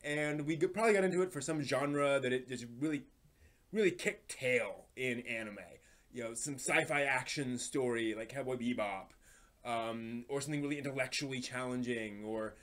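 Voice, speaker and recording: Guy streamer chatting casually to his viewers, mid-pitched voice, kinda halting, thinks out loud. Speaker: live stream host. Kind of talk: casual live stream chat and commentary.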